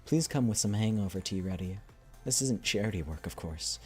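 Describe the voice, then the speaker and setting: A man voicing a coy, teasing game character in a slow, hushed, suggestive manner. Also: on the low side, lively intonation, faint music behind, natural voice. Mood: coy, woozy.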